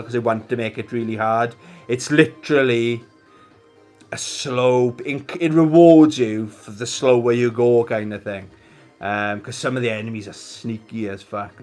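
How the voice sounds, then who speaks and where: Welsh male streamer, mid-pitched, informal and rambling, jokey gamer chat, light music underneath. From Wales. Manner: rambling, jokey, swears casually. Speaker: host of a gaming live stream. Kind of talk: casual chat to live viewers.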